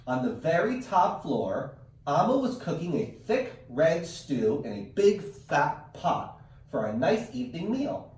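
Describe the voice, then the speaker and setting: A man's voice: fairly high pitch, a little mellow. A man teaching through a virtual read-aloud, chatting encouragingly and expressively with children and parents as he presents a picture book. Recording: roomy, distant mic with faint noise.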